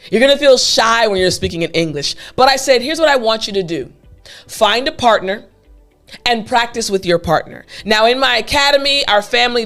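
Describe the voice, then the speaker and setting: A woman teaching an English lesson, speaking straight to her learners in an upbeat, emphatic way with deliberate enunciation. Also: mid-range voice, fairly bright tone. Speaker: English teacher with an online academy. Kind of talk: upbeat scripted lesson talking to viewers.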